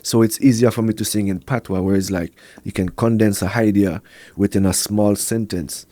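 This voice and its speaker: A man from Montreal whose first language is Quebec French, speaking English with a strong Jamaican patois flavor in a relaxed podcast interview. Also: lowish voice, normal speed, dry recording. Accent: Jamaican patois over a Quebec French base. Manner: laid-back, slips into patois grammar. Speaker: reggae artist guest.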